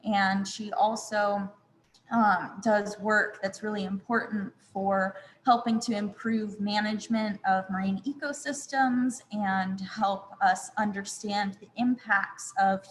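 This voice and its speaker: A woman's voice: mid-range voice, big-room sound, slight background noise, poor audio. A woman hosting a web chat interview over a video call, chatty and friendly, with frequent ums. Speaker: host.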